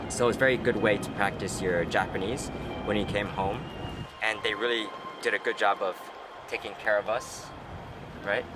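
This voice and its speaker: A man's voice: fairly low pitch, steady tone, moderate pace. A young man hosting a casual chat, talking hesitantly with lots of fillers and restarts. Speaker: host. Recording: noisy outdoor street.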